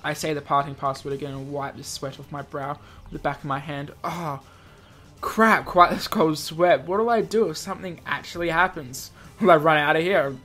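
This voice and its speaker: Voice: medium pitch, normal speed, fairly bright tone, soft background music. A video game host reading a visual novel aloud, stumbling over words and dropping in jokey offhand comments. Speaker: let's play video host.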